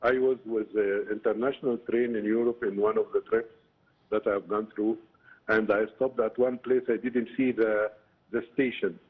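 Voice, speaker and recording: A man with an Egyptian accent giving halting, explanatory answers as an expert guest in a broadcast interview, often saying "you know". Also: lowish voice, fairly even intonation, slightly rough. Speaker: political science professor, interview guest. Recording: muffled, narrow phone-like line.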